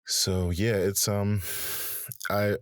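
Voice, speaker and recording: A man speaking as a guest in a casual interview, relaxed and hesitant, with many fillers. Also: fairly low pitch, very slow, dry recording. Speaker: audiobook narrator, guest.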